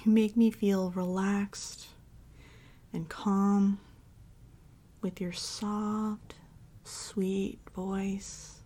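Breathy, whispery woman acting a tender girlfriend. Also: medium pitch, level tone. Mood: adoring. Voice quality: breathy, often dropping into a whisper. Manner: soft, soothing, slow and affectionate. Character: tender, devoted girlfriend and mommy dom comforting her partner. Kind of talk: intimate ASMR roleplay, comforting a listener.

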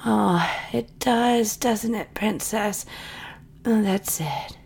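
Woman murmuring and whispering real slow, coaxing and doting, lots of vocal fry. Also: darkish tone. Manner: breathy sighs and low murmurs. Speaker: audio roleplay performer. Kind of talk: intimate whispered audio roleplay.

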